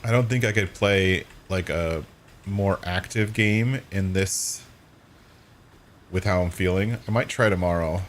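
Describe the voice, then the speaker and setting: A man with a hoarse voice, chatting casually and rambling while streaming a game. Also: low voice, measured pace, a little hiss or hum. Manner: rambling, self-correcting, relaxed. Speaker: gaming streamer. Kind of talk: casual live-stream chat while playing.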